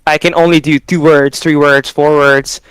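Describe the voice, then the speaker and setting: Smooth-voiced man, dry sound. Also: on the high side, little pitch movement, normal speed, fairly bright tone, no echo, low-quality recording. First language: Tagalog. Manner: earnest, with frequent fillers like "you know". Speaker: esports caster, guest. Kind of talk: casual interview answers.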